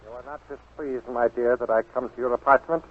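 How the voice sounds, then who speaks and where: An actor playing a courtly, scheming Japanese baron, formal and ingratiating, in a 1940s radio drama. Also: male voice, medium pitch, fairly even intonation, a little fast, a little hiss or hum, natural voice. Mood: suave, courtly. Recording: muffled old 1940s radio broadcast.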